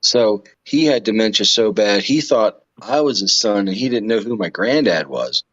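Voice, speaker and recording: A lo-fi, slightly dull recording of a man with a lowish voice. He speaks informally and agreeably, as in a casual chat between friends on a stream. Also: lively intonation, normal speed, a bit bright. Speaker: livestream co-host or guest. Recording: dull lo-fi call audio.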